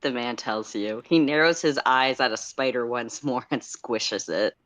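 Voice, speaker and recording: A man's voice: medium pitch, unhurried, a bit bright, dry recording. A man co-hosting a livestream in a casual style, as he chats and reads fan fiction aloud. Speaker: co-host and story narrator.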